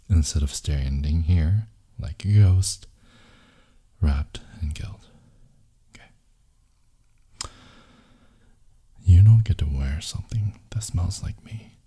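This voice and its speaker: A very deep, breathy male voice, an actor as a brooding yandere boyfriend in an ASMR audio story. He talks slowly and intimately, slipping into husky whispers with dramatic pauses. The tone is possessive and wounded, with a dark, mellow timbre. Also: heavily acted. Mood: low, intense. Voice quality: very low, breathy, husky, often dropping to a whisper. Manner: slow, intense, teasing murmur with dramatic pauses.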